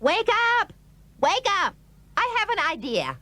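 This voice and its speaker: A woman's voice: very high-pitched, huge pitch swings, unhurried, bright, forceful tone, no echo, full character voice. A woman playing a demanding, imperious wife and emperor in a dramatised folk tale, bossy and insistent. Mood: excited, demanding.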